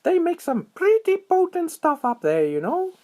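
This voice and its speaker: A man voicing a small, excitable, timid squirrel in a children's bedtime story, with a squeaky, shrill, exclamatory delivery. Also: moderate pace, full character voice. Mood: excited, friendly. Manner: exclamatory, repeats a greeting catchphrase.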